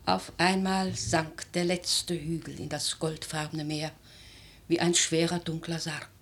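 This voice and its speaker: A woman playing an aristocratic old grandmother in a German radio drama, speaking in a theatrical, measured, lyrical way, worldly and imperious. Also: sits low, little pitch movement, husky, full character voice. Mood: grave, remembering. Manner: theatrical, measured, lyrical, with emphatic swells and hushed phrases.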